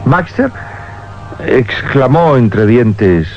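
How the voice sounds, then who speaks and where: A man's voice: medium pitch, big pitch swings, extremely slow. A man narrating a scripted radio mystery story in a dramatic style. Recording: dull old radio recording with music.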